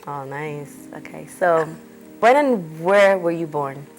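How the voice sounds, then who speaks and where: A woman's voice: low-pitched, expressive, slow, faint music behind, rough recording. Woman interviewer asking short questions. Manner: brief, plain questions. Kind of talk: oral history interview.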